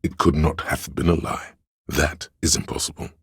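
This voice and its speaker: Game casting: a grandiose, scheming courtly inner voice; extremely low, husky, declamatory, archaic. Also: male voice, level tone, moderate pace, dry recording, clean studio sound, exaggerated voice. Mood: theatrical, scheming. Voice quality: gravelly, husky. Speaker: voice actor. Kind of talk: theatrical video game voice lines.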